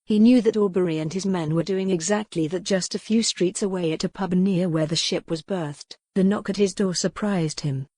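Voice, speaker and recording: A woman narrates a historical romance novel. Her voice sits a little low and is notably mellow and relaxed in tone. The recording is very dry, as an audiobook read. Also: moderate pace, hardly any pauses, no echo.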